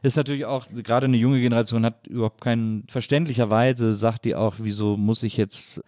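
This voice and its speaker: Man, soft dark timbre, dry close sound, informal podcast host, musing phrasing. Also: mid-range voice, fairly even intonation, a little fast, no room sound. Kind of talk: casual conversational interview.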